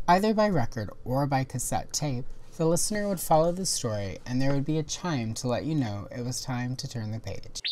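A woman hosting a toy history video, giving scripted documentary narration in a measured, informative way. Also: a bass voice, dark tone, slight background noise.

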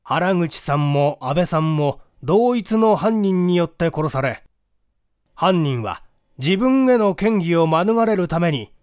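Man reading as a methodical, animated police detective. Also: fairly high pitch, smooth, no room sound, studio quality. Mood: explanatory, measured. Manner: formal, orderly, explanatory. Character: methodical male police detective explaining his deductions formally. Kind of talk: dramatic audiobook reading.

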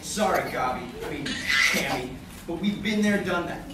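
An actor playing a flustered, quippy teenage superhero with a stammering delivery in a live radio play. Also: male voice, fairly high pitch, animated, moderate pace, lightly acted. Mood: defiant. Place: large hotel conference room with seated audience. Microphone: distant audience camera. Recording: echoey noisy room, recorded from far off.

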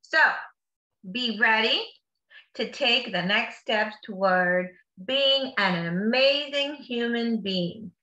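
A woman's voice: on the high side, takes it slowly, bright, forceful tone, highs cut off. A woman reading a picture book aloud to children like a teacher, with encouraging, simply worded asides in between. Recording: echoey room.

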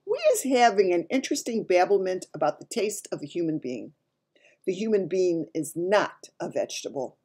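A woman's voice: fairly low pitch, animated, normal speed, dark tone, husky. A woman voicing a big male giant from a children's storybook, blustery and emphatic, with muddled grammar and invented words. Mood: stern, blustery. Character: big friendly giant man, blustery, mangles his words.

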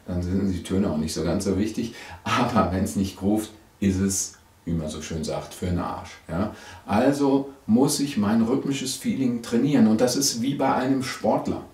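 A middle-aged bass teacher with a low, cold-roughened hoarse voice talks straight to camera. He keeps a chatty, explaining tone, peppered with "ja?" and "ähm". The studio adds a noticeable roomy echo. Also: darkish tone. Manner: chatty, frequent "ja?" and "ähm" fillers. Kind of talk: video lesson, direct to camera.

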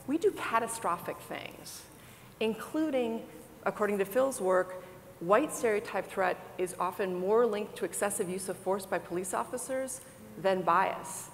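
A woman's voice: mid-range voice, measured pace. A woman speaks on a conference panel and explains research in a precise, orderly way. She wears a lapel mic. Her voice carries a long hall reverb over a faint hiss. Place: conference stage.

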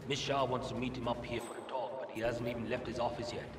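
A man's voice: medium pitch, little pitch movement, quick pace, reverberant, recorded from a distance, background noise, natural voice. An actor playing a wry, gossiping male guard in video game background dialogue.

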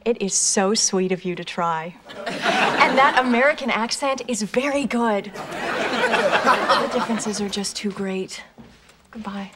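A woman's voice: mid-range voice, takes it slowly, long pauses, a loud crowd, very noisy, close to an everyday voice. An actress playing an earnest young woman who talks in a dramatic, exclamatory way, in a 1990s sitcom. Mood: gentle.

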